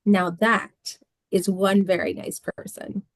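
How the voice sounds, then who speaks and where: A woman's voice: medium pitch, little pitch movement, slow pace, no room sound, studio quality. Woman reading as businesslike county official. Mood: friendly, curious. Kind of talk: script table read.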